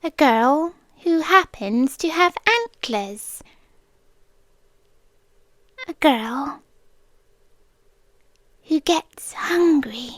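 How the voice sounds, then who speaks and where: A woman performs a girlish forest deer spirit for an ASMR roleplay, with a British accent. Her high voice swoops playfully and moves very slowly. She is coy, sweetly menacing and possessive, drifting into whispers right at the mic. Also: lively intonation, no echo, studio quality, heavily acted. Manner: coy, teasing, breathy whispers mixed with soft speech. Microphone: close microphone.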